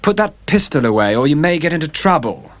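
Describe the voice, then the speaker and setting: A man voicing a refined, haughty young gentleman with languid, upper-class speech, set a little above his own voice. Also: on the high side, big pitch swings, brisk, pitched above the performer's own voice. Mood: stern. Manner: languid and aristocratic, turning panicked.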